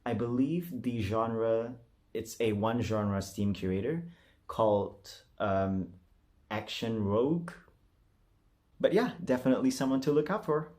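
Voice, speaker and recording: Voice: mid-range voice, a little slow, a little mellow. A vlogger chatting casually in a self-deprecating, hesitant, giggly manner. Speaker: vlogger doing a voice acting challenge. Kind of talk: casual, chatty vlog talk.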